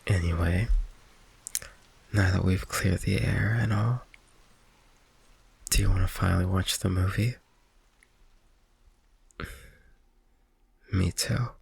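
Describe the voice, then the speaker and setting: A man playing a shy, affectionate vampire boyfriend in an intimate ASMR roleplay, speaking softly and haltingly with rain behind him. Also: lowish voice, steady tone, exaggerated voice. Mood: playful, bashful. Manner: soft, hesitant, halting, breathy sighs and chuckles. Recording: faint noise, rain ambience behind. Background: rain ambience.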